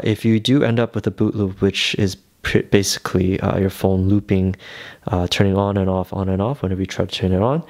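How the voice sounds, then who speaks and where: Guy with a lowish voice walking thru a phone how-to, casual and reassuring, takes his time. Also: a little mellow. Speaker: phone tutorial presenter. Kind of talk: casual step-by-step tech tutorial.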